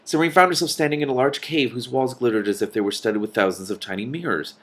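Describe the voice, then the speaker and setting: A man reading a novel aloud as host, with animated, casual reactions between passages. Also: medium pitch, quick pace.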